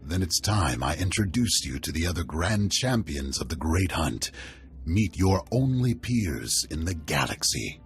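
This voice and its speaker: An older warrior leader with a low voice that swings widely in pitch. He is proud, hearty and stately, addressing his fighters in a game cutscene with music underneath. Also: very animated, measured pace, heavily acted. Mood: solemn, proud. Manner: stately, commanding. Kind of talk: video game cutscene acting.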